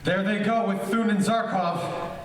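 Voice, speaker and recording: A brave, earnest young space hero in classic radio-serial style, played by a man. His high voice carries heroic conviction, picked up at a distance in a very echoey space. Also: fairly even intonation, measured pace. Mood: determined. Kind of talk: old-time radio serial acting. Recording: echoey stage, distant mic, faint noise.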